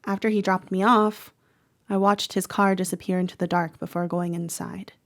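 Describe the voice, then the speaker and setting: A woman playing a troubled, protective teenage girl who narrates a horror audio drama in a measured, dramatic way. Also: fairly low pitch, steady tone, natural voice. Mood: brooding, uneasy. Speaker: actor narrating. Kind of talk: first-person horror audio drama monologue.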